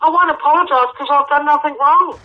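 A woman's voice: sits high, fairly quick. A middle-aged woman speaking firmly and indignantly on a dull, lo-fi phone line. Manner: firm, indignant, insistent. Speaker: prank call recipient. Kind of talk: phone conversation. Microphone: telephone.

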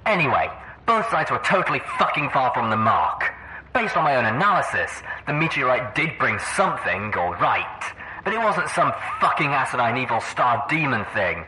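A man's voice: medium pitch, very animated, moderate pace, background noise, lightly acted. A man playing an irritable, opinionated pony researcher in an audio drama, blunt and caustic. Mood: bitter, scornful.

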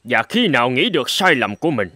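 A man voicing a stern, wise old sword master who lectures his students in a measured, fatherly way in a cartoon. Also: mid-range voice, sing-song, sharp tone, no echo, studio quality. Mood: stern lecturing. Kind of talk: scripted Vietnamese cartoon dub, telling a parable.

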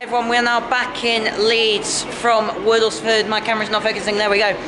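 A man's voice: high voice, level tone, fairly quick. A young man from England narrating a casual train-spotting vlog, upbeat and chatty, speaking into a handheld camera on railway platforms. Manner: upbeat, chatty, signs off with "enjoy". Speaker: train vlogger. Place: outdoor railway station platforms. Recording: loud ambient station and train noise.

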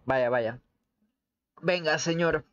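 A man's voice: on the high side, takes it slowly. Young Latin American man, dull lo-fi stream. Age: early twenties. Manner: slangy, joking. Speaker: game streamer. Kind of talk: casual playthrough commentary, reading subtitles aloud. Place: indoor room at home. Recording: dull lo-fi stream audio with game noise. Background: video game sound.

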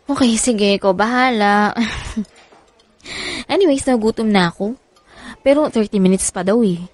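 A woman's voice: medium pitch, measured pace, dry recording, close to an everyday voice. A woman playing an insecure young mother in a radio drama, questioning her lover in a pleading way. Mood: hesitant, casual. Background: background music.

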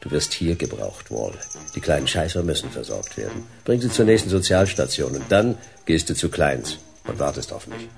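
A man playing a laconic private detective in a noir sci-fi radio play, dry and deadpan, with a gravelly voice. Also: extremely low pitch, normal speed, faint music behind, exaggerated voice. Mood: terse, resolute. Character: laconic, hard-boiled male private detective.

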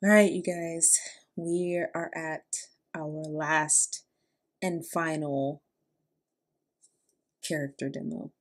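A young adult woman giving casual reaction commentary in a gushing, expressive way. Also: deep voice, takes it slowly, long pauses. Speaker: host. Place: small bedroom, indoors. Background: music.

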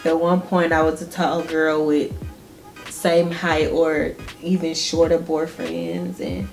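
Chatty Southern woman, lowish voice. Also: little pitch movement, slow, vocal fry, a little mellow, faint music behind. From Georgia, US. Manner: chatty, laughs often, addresses viewers directly. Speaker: beauty vlogger. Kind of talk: casual chit-chat while doing makeup. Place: small indoor room at home.

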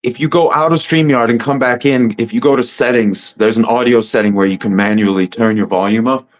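A loud-voiced man hosting a casual panel discussion over a video call. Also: steady tone, a little fast, lo-fi sound. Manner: loud, booming voice. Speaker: host. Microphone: computer mic on a video call.

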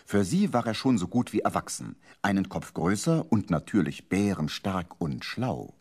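An actor as the storyteller of a children's radio play, narrating with playful suspense in a husky voice. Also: male voice, low voice, expressive, normal speed, darkish tone. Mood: suspenseful, playful.

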